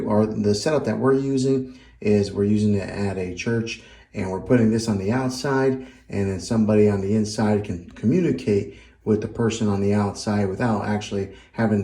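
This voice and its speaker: A man with a fairly low voice talks through a product in a casual, conversational review style. He explains each step plainly, as a presenter would. The sound is roomy and clearly echoey. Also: steady tone, normal speed, warm tone.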